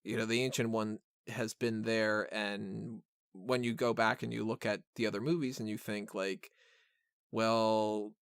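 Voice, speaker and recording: Male podcast co-host, clear smooth voice, casual and keen, dry close sound. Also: fairly low pitch, level tone, a little slow, no room sound. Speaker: podcast co-host reviewing a film. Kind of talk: casual film review chat.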